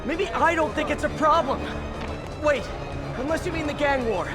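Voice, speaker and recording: A man's voice: very high-pitched, moderate pace, over loud music. An actor playing a caring, wry young male superhero, conversational and earnest, in a video game cutscene. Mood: pleading.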